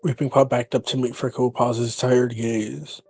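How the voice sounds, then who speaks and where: A man's voice: mid-range voice, little pitch movement, unhurried, a little mellow, husky, no echo. Dry-sounding male narrator over music. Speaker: roleplayer narrating a character. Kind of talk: third-person story narration.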